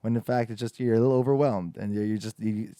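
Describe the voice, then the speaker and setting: A man's voice: on the low side, steady tone, fast, mellow, dry recording, clean studio sound. A man in his twenties hosting a casual podcast chat, rambling loosely and swearing often. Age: 20s. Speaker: podcast host.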